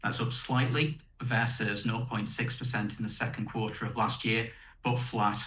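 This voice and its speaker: A man's voice: fairly low pitch, level tone, normal speed, fairly bright tone, roomy sound, faint music behind. A man presenting company results on an earnings call in a methodical, explanatory way, point by point.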